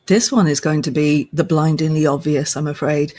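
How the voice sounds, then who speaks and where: An older woman, a podcast guest, discussing literature thoughtfully with measured, emphatic phrasing and deliberate pauses. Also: sits low, fairly even intonation, warm tone. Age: older, past fifty.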